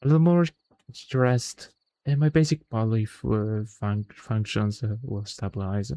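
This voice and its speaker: Man reading as a soft, hesitant, frail companion. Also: fairly low pitch, steady tone, measured pace, no echo. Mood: soft, weary. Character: soft-spoken, flustered, frail male visual novel companion. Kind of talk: visual novel read-aloud.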